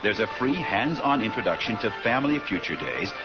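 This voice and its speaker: A male announcer reads a radio commercial from a script. His lowish voice swings in pitch in a lively way. Loud music plays behind, and the sound is dull. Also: a little fast. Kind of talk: scripted ad read.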